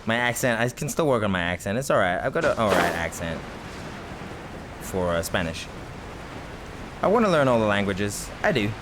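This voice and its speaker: A man's voice: on the low side, big pitch swings, normal speed, long pauses, noisy background. A man from Britain giving casual, rambling let's-play commentary as he plays, chatty, self-mocking and often laughing. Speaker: gaming video host.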